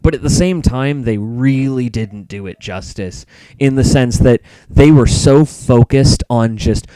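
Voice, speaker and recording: A man's voice: mid-range voice, measured pace, warm tone, no echo, low-quality recording. A man talking casually as a podcast co-host and reviewer, giving opinions and explaining them at length. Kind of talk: casual podcast chat about movies.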